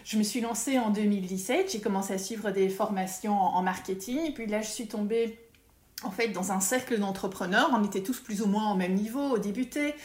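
A woman's voice: medium pitch, brisk. Chatty woman with a roomy echo. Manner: lively, many hesitations and restarts. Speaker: feng shui consultant, podcast guest. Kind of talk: casual interview conversation.

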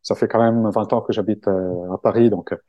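A man from Italy speaks French with an Italian accent in a relaxed interview, pausing often on fillers and restarting his sentences. His voice is mellow and dark, and the sound is dry and close with no room echo. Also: lowish voice, muffled recording. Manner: hesitant, many fillers and restarts. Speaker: guest, book-summary creator. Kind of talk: casual interview conversation.

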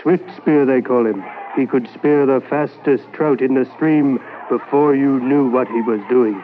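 An old, kindly fisherman from the reserve played by a man in a 1950s radio play, who talks slowly in plain, broken English. The broadcast sounds narrow and phone-like, with a faint hiss. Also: medium pitch, lightly acted. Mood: friendly, confiding. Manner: slow, simple broken English.

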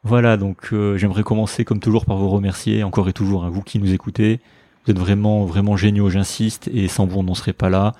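A young man hosting a podcast, talking with eager enthusiasm and stumbling over his words. His voice is low and mellow. The recording is dry and close. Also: little pitch movement, brisk, no room sound. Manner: enthusiastic, with many fillers and restarts. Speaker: podcast host. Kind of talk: conversational interview and sponsor read. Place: visiting Harvard, Boston.

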